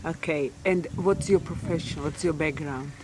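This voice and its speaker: An interviewer asking slow, simple questions and prompting often with short murmurs. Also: mid-range voice. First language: not English. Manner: slow, prompting with frequent mm-hmms. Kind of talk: on-site video interview.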